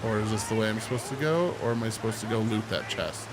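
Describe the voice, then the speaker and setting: A man's voice: fairly low pitch, quick pace. A man getting over a cold, sniffling as he plays a game and talks to himself, yelping at scares. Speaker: gameplay streamer. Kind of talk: casual let's-play commentary. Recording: heavy hiss over the voice.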